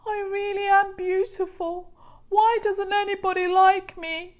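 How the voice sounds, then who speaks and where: Woman acting a high-pitched, haughty male rainbow fish. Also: fairly even intonation, measured pace. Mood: hurt, troubled. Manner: haughty, dramatic exclamations. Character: vain, proud, easily indignant male rainbow fish. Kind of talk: children's storybook character voice.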